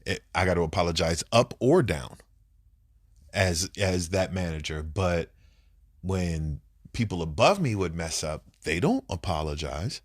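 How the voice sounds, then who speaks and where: A congested man with a very deep, low voice talks through a cold, sounding nasal and stuffed up. He rambles and speaks bluntly and emphatically, co-hosting a casual podcast chat. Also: animated, a little slow. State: congested, under the weather. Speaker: podcast co-host.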